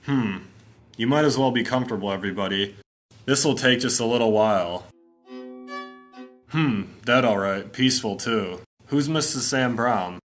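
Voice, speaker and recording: A man playing a brisk, authoritative homicide sergeant with clipped, matter-of-fact delivery in a 1950s-style radio mystery. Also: medium pitch, fairly bright tone, soft background music, natural voice. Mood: brisk, businesslike.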